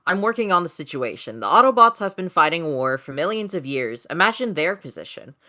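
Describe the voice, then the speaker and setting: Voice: mid-range voice, big pitch swings, brisk, bright, forceful tone. A person voicing an earnest robot rebel spokesman in an amateur comic dub, speaking formally and persuasively. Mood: firm.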